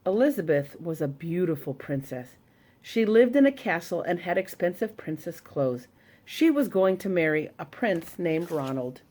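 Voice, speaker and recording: Animated woman reading a storybook. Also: on the low side, normal speed, a little hiss or hum. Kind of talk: reading a children's story aloud.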